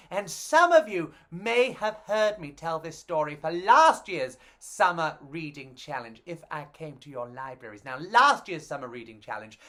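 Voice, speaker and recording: A woman tells stories to children in a theatrical, expressive way. Her pitch swings in a lively manner. She takes her time, drawing out the lines for young listeners. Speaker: children's storyteller. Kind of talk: animated storytime reading for children.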